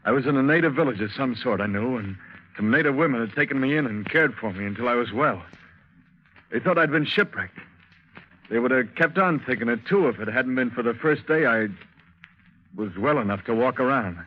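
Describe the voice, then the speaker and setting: A man's voice: mid-range voice, animated, a little fast, natural voice. Actor doing tired shipwrecked sailor guy, grim storytelling, midrange voice, dull old radio sound. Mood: weary, grim. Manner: sombre first-person storytelling. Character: weary shipwrecked sailor, haunted and resigned, recounting his ordeal. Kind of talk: 1940s radio drama narration. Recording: dull, muffled 1940s radio recording.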